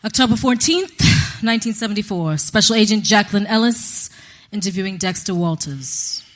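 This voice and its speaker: A woman playing a brisk, no-nonsense 1970s special agent in an audio drama, businesslike, curt and formal. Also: medium pitch, lively intonation, natural voice. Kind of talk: acted witness interview in audio drama.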